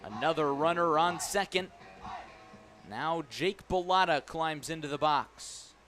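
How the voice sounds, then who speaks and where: A man calling a baseball game live on radio as the play-by-play announcer, describing each pitch in detail. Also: measured pace, soft background music. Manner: descriptive, excited calls rising on big plays. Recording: clear background noise at ballpark. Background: ballpark ambience.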